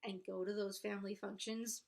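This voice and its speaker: A young adult woman with a congested nose talks casually and candidly to camera as a vlogger, in a halting, self-conscious way. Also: mid-range voice, flat delivery, vocal fry. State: congested, pregnant. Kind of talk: casual confessional talk to camera.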